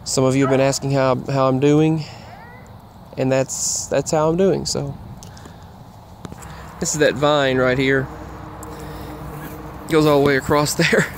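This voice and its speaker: Halting man over outdoor traffic noise. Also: medium pitch, flat delivery. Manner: halting, meandering, trailing off and repeating himself. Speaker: vlogger. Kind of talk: casual rambling update vlog while walking. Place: outdoors, walking in a grassy yard near roads. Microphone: earbuds microphone with a phone. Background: passing traffic.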